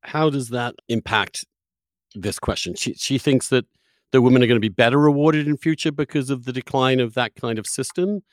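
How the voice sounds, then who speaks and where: Male podcast interviewer, medium pitch, conversational, dry close recording. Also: normal speed, no room sound.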